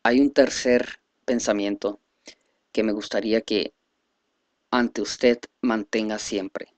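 A man reading a speech aloud in a halting, careful way, stumbling over words now and then. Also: fairly low pitch, fairly even intonation, no room sound. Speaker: reader presenting a selected speech.

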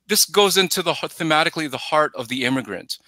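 A middle-aged man giving casual film analysis as a podcast guest, halting and often starting phrases over. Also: a little high, animated, bright, forceful tone, no room sound. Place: plain room at home, on a video call. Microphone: headset.

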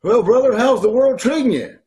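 A man's voice: very high-pitched, animated, fairly quick, a little mellow. A middle-aged man playing a shameless, cajoling brother-in-law in an old-time radio comedy. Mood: breezy. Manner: chuckling, cajoling. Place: indoors at home on a video call.

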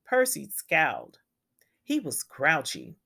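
A woman reading a children's picture book aloud as a storyteller, with deliberate, emphatic phrasing for young listeners. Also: deep voice, huge pitch swings, gravelly, bright, forceful tone, breathy edge. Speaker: read-aloud storyteller and educator.

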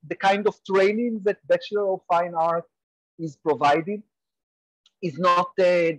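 Brief: Israeli man, 40s, guest professor, earnest and measured, slightly high voice, dry close sound. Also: no room sound, rough recording. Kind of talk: webinar interview answer. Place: home room on a video call, virtual background. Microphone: earphone mic on a video call.

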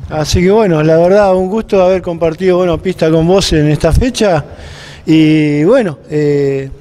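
A man's voice: fairly high pitch, normal speed, mellow. An older man, hosting a casual on-location interview with a handheld microphone. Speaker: interviewer, programme host. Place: indoor block-walled room at a racetrack.

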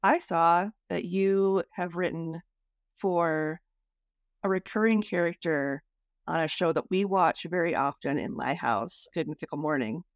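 A woman's voice: lowish voice, a little slow, no room sound, studio quality. A woman hosting a podcast interview in a casual, conversational style.

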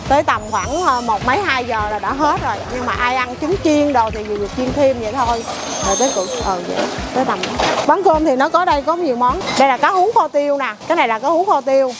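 Middle-aged woman, Southern Vietnamese accent, high bright voice, chatty stall owner reeling off dishes, music under the talk. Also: animated, fast. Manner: chatty, lists dishes one after another. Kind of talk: casual interview chat. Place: busy indoor market food stall.

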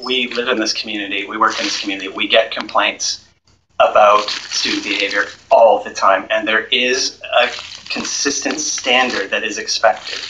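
A man speaking as a university administrator in a meeting, in a measured, formal and firm way. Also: on the low side, fairly even intonation, recorded from a distance, background noise. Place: meeting room.

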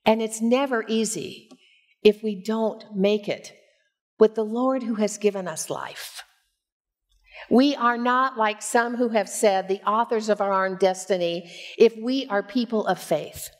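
A woman in her sixties preaching as a pastor, with measured, expressive storytelling, on a headset mic in a church. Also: mid-range voice. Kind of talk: sermon with personal storytelling. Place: church sanctuary, at a pulpit.

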